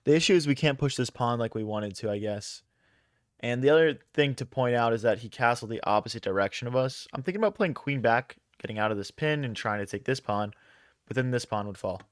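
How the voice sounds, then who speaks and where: A young man talks through his chess moves live, tossing out slang and answering chat as he goes. His voice sits at a middle pitch, recorded close in a dry small room. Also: no room sound. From Philadelphia, USA. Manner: thinks aloud, casual slang, quick reactions to chat. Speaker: chess streamer. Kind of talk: casual live-stream commentary.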